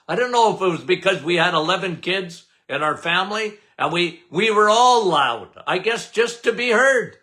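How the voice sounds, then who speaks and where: Loud, lively older man host. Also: high voice, measured pace. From Canada. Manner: loud, emphatic, repeats "okay?" often. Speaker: podcast host. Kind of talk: casual live talk.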